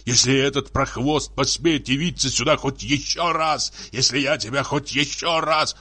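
A man's voice: mid-range voice, big pitch swings, a little slow, sharp tone, husky, voice pushed up, heavily acted. A man voicing a tipsy, jealous, bullying husband who scolds in sputtering, tearful exclamations for a story. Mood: furious. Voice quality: muffled, tearful, raised voice.

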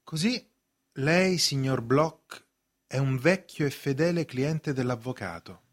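Man playing an accused gentleman, husky, lively intonation, puzzled, polite, incredulous, questioning, radio play acting. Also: medium pitch, slow. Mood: curious. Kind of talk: stage acting in a radio drama.

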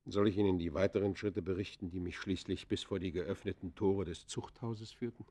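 An actor playing an embittered outcast poacher in a German radio play, confessing in formal, declamatory phrases with dramatic swells and hushed falls. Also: male voice, deep voice, fairly even intonation, fairly quick. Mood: subdued, rueful. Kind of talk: German radio play acting, literary monologue.

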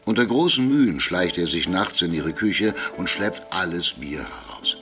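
An actor narrating an absurd farce for a radio drama in a measured, deliberate storytelling manner. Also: male voice, sits low, expressive, sharp tone, faint music behind. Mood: dryly storytelling.

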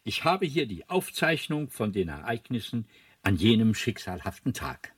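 A man playing a mysterious, knowing alien guide with a husky, portentous delivery in a radio drama. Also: lowish voice, very animated, normal speed, no echo, exaggerated voice. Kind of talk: German radio drama acting.

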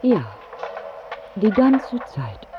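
An actress playing Time personified as a calm, matter-of-fact woman who never stops walking, in a radio play. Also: a little high, very slow, heavily acted. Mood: calm, unyielding. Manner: matter-of-fact, terse, unyielding. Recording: muffled radio play, music underneath.

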